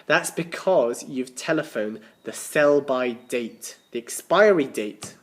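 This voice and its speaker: A man's voice: mid-range voice, expressive, takes it slowly. A man voicing a sardonic, exasperated boy, the younger brother in a storybook, with dry, teasing emphasis.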